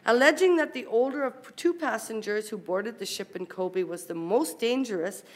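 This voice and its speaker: A woman speaks as a conference presenter, reading a formal paper aloud. Her voice sits a little high, and she moves through long sentences in a measured, carefully articulated way. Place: university conference talk.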